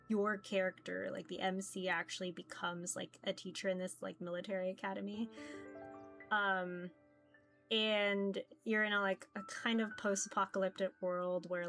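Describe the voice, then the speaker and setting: Woman streamer chatting haltingly over music. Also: mid-range voice, little pitch movement, a bit bright. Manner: rambling, halting, peppered with "like". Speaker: streamer playing visual novels. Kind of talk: casual livestream chat, reading game text aloud. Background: background music.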